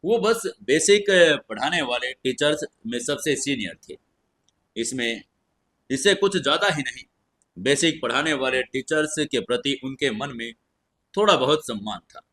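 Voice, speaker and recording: A male storyteller narrates a scripted fantasy audio drama. His voice sits at an ordinary middle pitch with a slightly husky grain. The delivery is that of dramatic story narration. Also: lively intonation, normal speed, a bit bright.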